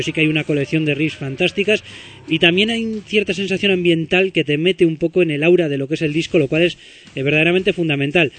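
A man's voice: fairly high pitch, a bit bright. A male radio interviewer asking long, chatty questions and praising the band's music.

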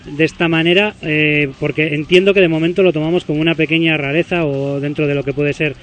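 Fast-talking man radio host. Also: a little high, fairly even intonation, a bit bright. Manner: talkative, long winding questions. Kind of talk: radio interview.

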